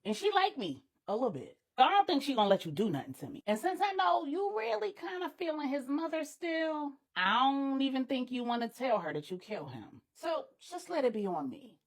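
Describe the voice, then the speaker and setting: A woman's voice: high-pitched, big pitch swings, a little fast, few pauses, voice pushed up. A woman voicing a brazen, unruly and unbothered eccentric woman, sassy and colloquial, in an audio story. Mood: nonchalant defiance.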